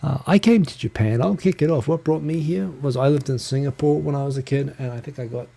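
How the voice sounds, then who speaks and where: Man, New Zealand accent, dark mellow tone, rambling self-deprecating chat, close handheld mic. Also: mid-range voice, gravelly, husky. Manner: rambling, self-deprecating, talks fast with restarts. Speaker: livestream host. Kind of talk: casual solo live chat. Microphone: handheld USB recorder mic close. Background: computer fan hum.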